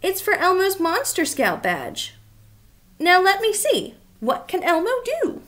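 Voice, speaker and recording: A woman's voice: very high-pitched, wildly swooping pitch, a little slow, fairly bright tone, voice pushed up, exaggerated voice. A woman voicing a small, cheerful, childlike monster who eagerly talks about himself in the third person in a storybook read-aloud. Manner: speaks of self in third person.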